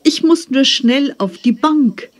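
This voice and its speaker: A woman voicing a hurried driver who pleads and coaxes. Her intonation swings widely, yet her pace stays slow. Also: high-pitched, lively intonation. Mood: pleading. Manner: pleading, coaxing. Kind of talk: scripted textbook dialogue.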